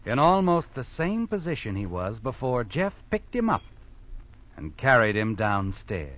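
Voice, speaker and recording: Sinister, swooping radio storyteller. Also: male voice, medium pitch, huge pitch swings, a little slow. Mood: ominous. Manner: knowing, ominous. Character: sinister omniscient male storyteller taunting the guilty. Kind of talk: 1940s radio suspense narration, second person. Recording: dull old 1940s radio recording.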